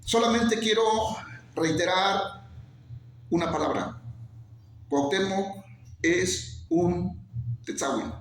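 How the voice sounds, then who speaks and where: A middle-aged man from Mexico, a Nahuatl speaker by birth, talks with passion and builds his points through repeated, emphatic lists. His voice sits fairly high for a man. The sound carries heavy reverb and a faint hiss behind it. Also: fairly bright tone. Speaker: teacher and songwriter guest. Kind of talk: interview, earnest explanation. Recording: very echoey with faint noise.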